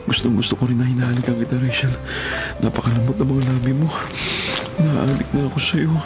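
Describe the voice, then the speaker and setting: Radio drama casting: male actor as poor gardener in love, mid-pitched, playful, sincere, music underneath. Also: little pitch movement, quick pace, natural voice. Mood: tender, romantic. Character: playful lovestruck poor gardener, humble and earnest. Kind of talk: Tagalog radio drama acting with inner monologue.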